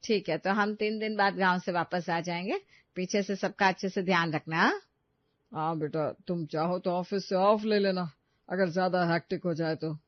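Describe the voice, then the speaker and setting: A woman voicing a kindly older father-in-law who gives gentle instructions in a cartoon story. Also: lowish voice, fairly even intonation, moderate pace, no room sound, highs cut off, natural voice. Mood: calm, caring.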